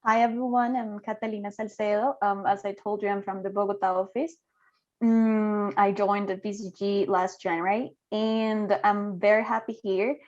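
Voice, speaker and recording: A woman's voice: mid-range voice, little pitch movement, normal speed, darkish tone. Colombian-born woman consultant, cheerful panel intro, dull call sound. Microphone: video call. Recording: slightly dull video call audio.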